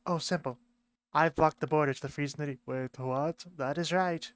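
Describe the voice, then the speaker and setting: A man voicing a pompous, grandiose communist leader in a put-on foreign accent that keeps changing, declaiming slowly. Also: fairly high pitch, fairly even intonation, dry recording, close to an everyday voice. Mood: scheming. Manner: grandiose, slow declaiming speeches. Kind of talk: amateur lore video skit.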